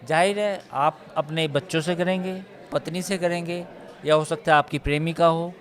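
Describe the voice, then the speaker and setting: A male reporter talks through a case in a live news discussion. His voice sits a little high. He is emphatic, restating points and reeling off figures as he explains. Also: fairly even intonation, moderate pace.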